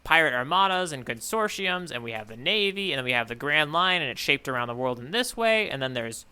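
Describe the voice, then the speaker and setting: A man's voice: on the high side, lively intonation, a little fast, sharp tone, smooth. A man co-hosting a casual podcast discussion, thinking aloud and tentatively working through his ideas as he speaks. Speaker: podcast co-host, newcomer reader giving theories.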